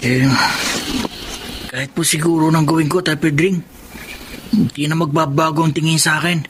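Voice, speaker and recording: An actor playing a humble young foster son in a radio drama, soft-spoken, respectful and earnest. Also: male voice, on the high side, fairly even intonation, moderate pace, noisy background, natural voice.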